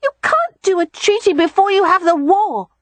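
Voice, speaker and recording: Woman voicing an eager, high-pitched little boy. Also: sing-song, moderate pace, a bit bright, dry recording, full character voice. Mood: eager, insistent. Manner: complaining, coaxing, earnest. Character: eager, stubborn four-year-old boy. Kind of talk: audiobook character voice.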